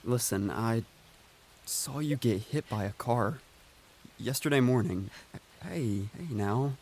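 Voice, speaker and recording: A man plays a gentle, awkward man of twenty-seven in an audio drama. He talks slowly and haltingly, his pitch rising and falling widely, anxious and earnest, over audible background noise. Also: animated. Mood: upset, pleading.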